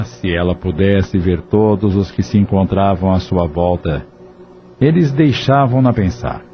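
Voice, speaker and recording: A man narrating an audio drama in a measured, even storytelling delivery. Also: fairly low pitch. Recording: dull lo-fi audio with soft music.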